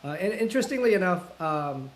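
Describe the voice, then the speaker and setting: A man in his fifties with a voice pitched a little above most men's, talking in a relaxed interview. He speaks thoughtfully, often pausing on fillers and restarting sentences, with the careful manner of a self-described introvert. A faint hiss sits under his voice. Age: 50s. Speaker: guest, full-time content creator. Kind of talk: casual interview conversation.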